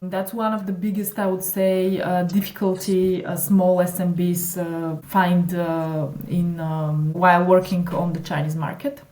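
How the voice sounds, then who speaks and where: A woman's voice: on the low side, little pitch movement, a little slow, hardly any pauses, mellow. Bulgarian-born woman, echoey room. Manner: hesitant, many fillers and restarts. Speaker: digital marketing consultant, guest. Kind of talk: conversational interview.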